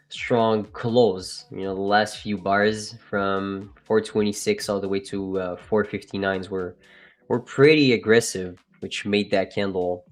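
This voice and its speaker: A man with a French accent hosting a live trading stream, chatting casually and joking with viewers. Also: on the low side. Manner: joking, laughs often, trails off and restarts. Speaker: livestream trading host.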